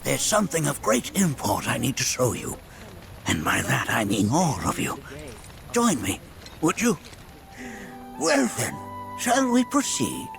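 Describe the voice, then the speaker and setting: An actor playing a wise, impish old village elder in a video game, with a grandiose, formal way of talking. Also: male voice, medium pitch, huge pitch swings, unhurried, gravelly, long pauses, exaggerated voice. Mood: courtly, sly. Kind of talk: video game cutscene acting. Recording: loud music behind.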